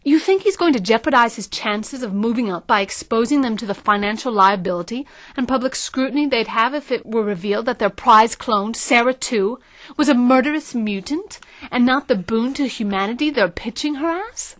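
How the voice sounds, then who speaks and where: Woman acting as a high-voiced scheming consultant. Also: normal speed, fairly bright tone, dry recording, close to an everyday voice. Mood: scornful, knowing. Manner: emphatic, condescending. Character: scheming, confident, scornful female marketing consultant. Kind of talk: audio drama acting.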